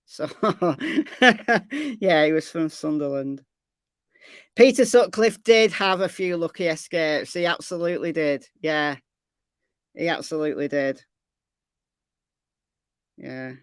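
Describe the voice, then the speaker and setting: A woman's voice: sits low, takes it slowly, pauses often, smooth, no room sound, studio quality. A woman with a Lancashire accent, hosting a true crime livestream in a casual, chatty way and thanking viewers for donations. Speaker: true crime channel host.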